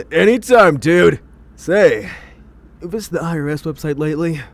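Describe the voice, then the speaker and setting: A man's voice: fairly high pitch, lively intonation, unhurried. A man playing a laid-back young computer hacker sidekick in a comic dub, with breezy, slangy delivery. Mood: playful.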